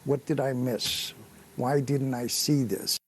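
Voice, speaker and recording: A man's voice: medium pitch, level tone, slow, slight background noise. An older man giving reflective answers in a recorded interview. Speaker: interviewee, retired airline worker.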